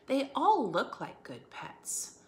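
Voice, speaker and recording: A woman teacher reading a picture book aloud for children, expressively, pausing to put questions to her listeners. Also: high-pitched, huge pitch swings. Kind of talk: animated read-aloud for children with asides and questions. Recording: echoey room, faint background noise.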